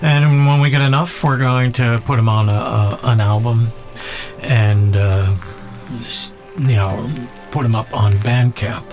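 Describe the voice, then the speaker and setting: A man talks in a slow, casual monologue with a mid-range voice. He muses whimsically and pauses as he goes, like a host thinking aloud, with soft music behind him. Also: mid-range voice. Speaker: contest organizer and show host.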